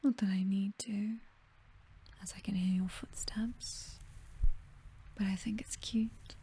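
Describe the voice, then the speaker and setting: A woman's voice: mid-range voice, fairly even intonation, slight background noise. A British woman playing a possessive, teasing vampire mistress in an intimate ASMR roleplay, whispering breathily. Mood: doting, possessive. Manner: slow, teasing, softly commanding.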